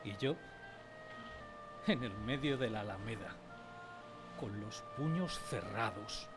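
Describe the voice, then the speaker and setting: A man's voice: lowish voice, animated. Actor as solemn, sorrowful male narrator. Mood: sorrowful, bitter. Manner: solemn, measured, literary. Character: grave male narrator recalling his village childhood with sorrow. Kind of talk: scripted dramatic narration. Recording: faint music behind. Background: faint music.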